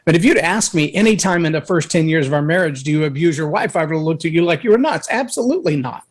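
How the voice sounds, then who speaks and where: A man guesting on a talk show, telling a personal story in an earnest, anecdotal way, with a voice that can boom when he raises it. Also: animated, fast talker. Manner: earnest, anecdotal, acts out others' words. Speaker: guest speaker.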